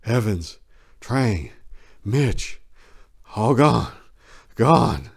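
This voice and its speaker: A man voicing a haunted, violent Vietnam veteran in a dramatic war story, given to furious yelling. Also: medium pitch, big pitch swings, very slow, gravelly, husky, voice pushed up, heavily acted. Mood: grieving. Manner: yells in sudden furious outbursts. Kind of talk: dramatic first-person war story monologue.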